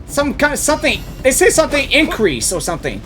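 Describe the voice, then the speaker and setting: A man doing casual, slangy, excitable live reaction commentary as a game streamer. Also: very high voice, fast, music in the background.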